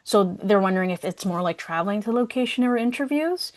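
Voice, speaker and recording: A woman moderating a workshop, relaying questions in a casual, halting way as she thinks aloud. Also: medium pitch, lively intonation. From Somali community.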